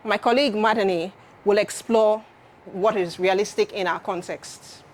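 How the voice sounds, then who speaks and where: A woman delivers a prepared conference talk in a mid-pitched voice. She explains each point in turn and repeats key phrases to underline them. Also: steady tone, normal speed, heavy background noise. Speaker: conference presenter.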